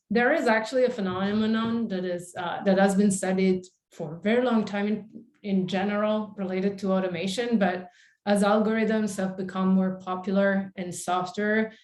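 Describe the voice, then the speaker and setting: Woman, 40s, casual conference speaker on a webcam, roomy sound. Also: mid-range voice. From Italy. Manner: chatty, many fillers, self-deprecating. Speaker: invited speaker. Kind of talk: conference talk with slides. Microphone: video call webcam. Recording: echoey room.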